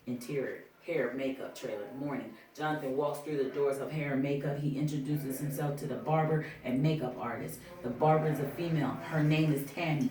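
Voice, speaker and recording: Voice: mid-range voice, warm tone. Echoey, distant reader of screenplay directions. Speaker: table read participant reading stage directions. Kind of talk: reading screenplay directions aloud. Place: indoor room at a table reading. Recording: echoey room from far off, faint noise.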